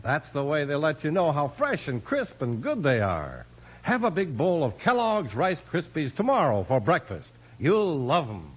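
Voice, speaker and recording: A man's voice: on the high side, huge pitch swings, normal speed, breathy edge. A man announcing and narrating in scripted 1950s radio style, enthusiastic and emphatic. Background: music.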